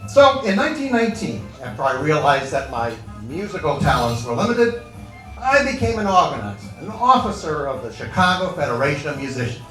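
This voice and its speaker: A man's voice: a little high, fairly bright tone. An American man playing a brash, proud old Chicago union boss in a stage monologue, punchy and colloquial. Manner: colloquial, punchy, self-justifying storytelling. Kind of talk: first-person dramatic monologue on stage. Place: echoey event hall before an audience. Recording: echoey hall, distant mic, music.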